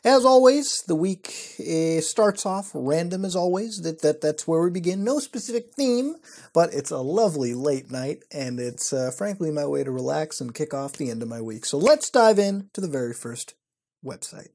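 A man's voice: fairly high pitch, animated, normal speed, darkish tone. A young man hosting his show from a small room at night, reacting to websites with wry, profane commentary. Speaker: host. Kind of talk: casual commentary reading websites.

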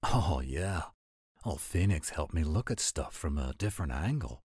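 A man's voice: a bass voice, expressive, moderate pace, darkish tone, breathy edge, no room sound, studio quality, full character voice. A man voicing a laid-back, eager werewolf sidekick who drawls in dude slang for an audio roleplay. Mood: excited.

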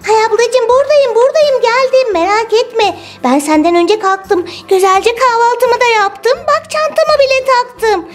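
A woman voicing an excitable, cheeky little girl in a toy play video, with a high, childlike, squeaky voice. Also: a little slow, hardly any pauses, soft background music. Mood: cheerful.

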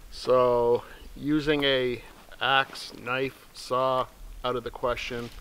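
A man's voice: mid-range voice, a little hiss or hum. A middle-aged man hosting his outdoor channel, chatting casually and easily to camera while seated in a snowy forest. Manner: relaxed, rambling, soft-spoken asides to his dog.

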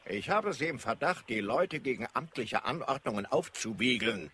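A man's voice: medium pitch, expressive, normal speed, bright, forceful tone, a little hiss or hum. An actor playing a domineering, indignant male commander in a radio play, pompous and stern. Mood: indignant, threatening. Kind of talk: German radio play acting.

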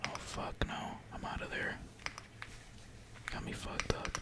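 A man whispering slangy, sweary ASMR commentary while playing a video game at home, keys typing behind him. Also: a little slow. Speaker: gaming ASMR streamer. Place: indoors at home, at a computer. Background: keyboard typing.

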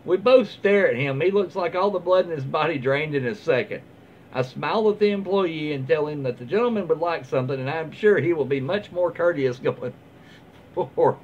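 A middle-aged man reads stories aloud casually, like a home video host. His voice sits a little high for a man, and his delivery is informal and halting. The recording is somewhat dull, with faint background noise. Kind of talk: casual read-aloud with off-the-cuff comments. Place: small room at home, indoors, seated in armchair.

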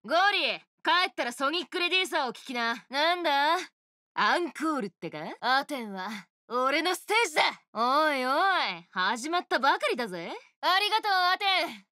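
A woman voicing a brash, swaggering female oni rock star guitarist, with rough tough-girl speech in game voice lines. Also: high voice, big pitch swings, moderate pace, bright, forceful tone, no room sound, studio quality, heavily acted. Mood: cocky. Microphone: studio mic, dry.

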